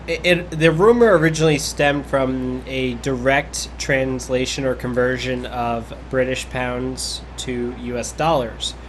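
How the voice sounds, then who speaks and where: A man hosts a casual gaming podcast. He talks in a chatty, teasing way and swears now and then. His voice sits at an ordinary pitch. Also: fairly bright tone, a little hiss or hum. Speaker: podcast host.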